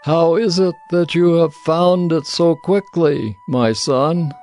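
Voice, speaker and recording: An aged, solemn patriarch of a Bible drama , acted by a man, speaks very slowly and deliberately. His voice sits a little high for a man, with music underneath. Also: fairly even intonation, a little mellow. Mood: doubtful, searching. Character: old, dim-eyed father Isaac, solemn patriarch. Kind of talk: dramatized Bible audio acting.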